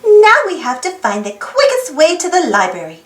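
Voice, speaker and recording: A woman reading a children's picture book aloud in an animated way, putting questions to young listeners. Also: high voice, normal speed, echoey room. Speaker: storybook read-aloud host.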